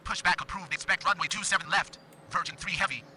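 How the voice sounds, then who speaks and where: A man voicing an airline pilot in a game, reading back calls in a quick, clipped way. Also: high-pitched, sharp tone, a little hiss or hum. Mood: brisk. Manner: quick, clipped radio readbacks. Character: airline pilot on the radio, brisk.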